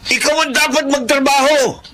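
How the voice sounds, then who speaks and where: An actor playing a stern, angry middle-aged father in a Filipino radio drama, husky and forceful as he scolds. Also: extremely high pitch, steady tone, normal speed, rough recording. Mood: furious. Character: angry, stern father berating his grown son.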